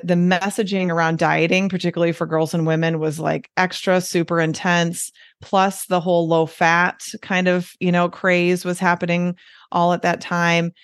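A Midwestern American woman in her forties talks easily as a podcast guest. Her voice sits fairly low, and it is recorded very dry and close. She chats along, dropping in fillers and small laughs. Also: fairly even intonation, moderate pace, clear, resonant tone, dry recording. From United States. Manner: chatty, with frequent fillers and light laughs. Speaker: guest, weight loss coach. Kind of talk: casual podcast conversation.

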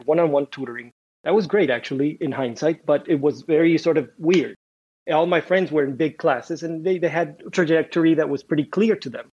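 A man's voice: a little high, a little mellow, husky, muffled recording. A man from Honduras, a physics professor, answering interview questions thoughtfully, often restarting his words.

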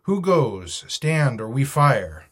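A man's voice: medium pitch, animated, moderate pace, voice pushed up, close to an everyday voice. Man playing a stern, commanding sea captain. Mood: commanding, wary. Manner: clipped, authoritative orders.

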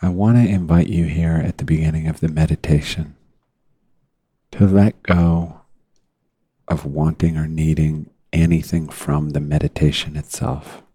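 Male meditation teacher, very low and mellow, husky edge, deliberate phrasing with long pauses. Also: fairly even intonation, no echo. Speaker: meditation teacher leading a guided meditation. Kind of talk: unscripted guided meditation talk.

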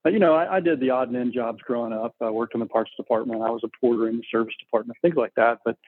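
A man's voice: mid-range voice, very fast, slightly rough. A middle-aged man from Texas, telling his story casually as an interview guest over a remote call. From Texas, United States. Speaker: interview guest, business owner. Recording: muffled, tinny phone-like connection.

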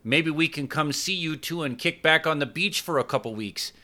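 A man doing an eager, bright young man. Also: on the high side, moderate pace, voice pushed up. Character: eager, friendly young man, casual crewmate. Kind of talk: audiobook character dialogue.

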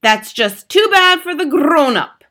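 Nasty bossy grand witch for an audiobook, loud and shrill, super high. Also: expressive, unhurried. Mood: scornful, shouting. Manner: commanding, shrieking, theatrical. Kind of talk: audiobook character acting.

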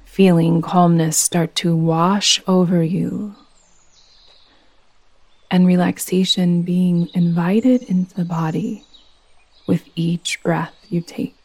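A woman leading a guided meditation as a yoga nidra teacher, speaking in a soothing, gentle, unhurried manner. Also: on the low side, fairly even intonation.

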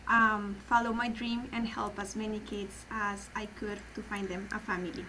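A woman's voice: medium pitch, sharp tone, low-quality recording. Colombian woman, Spanish first language, guest on a podcast, careful and earnest, faint hiss behind her. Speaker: adoption program guest. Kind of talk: podcast interview.

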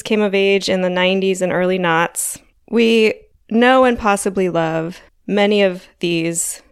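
A woman in her forties hosting her own podcast in a chatty, casual way, a little hoarse from a frog in her throat and laughing lightly between thoughts. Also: mid-range voice, no room sound, studio quality. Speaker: podcast host. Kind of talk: casual solo podcast talk.

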